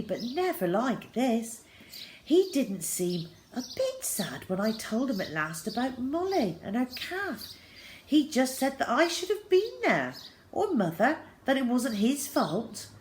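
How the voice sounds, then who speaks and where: A woman narrating a children's novel aloud in an expressive, dramatic storytelling style. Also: mid-range voice. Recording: clear background noise with birdsong.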